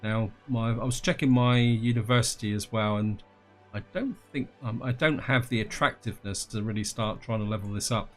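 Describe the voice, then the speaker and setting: A man with a slightly croaky throat giving casual gameplay commentary, thinking aloud and talking to his viewers. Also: on the low side. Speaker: gaming channel host.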